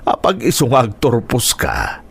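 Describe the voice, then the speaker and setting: A man's voice: medium pitch, huge pitch swings, measured pace, gravelly, breathy edge, full character voice. A man playing a kindly older uncle in a radio drama, speaking in a fatherly, reassuring way.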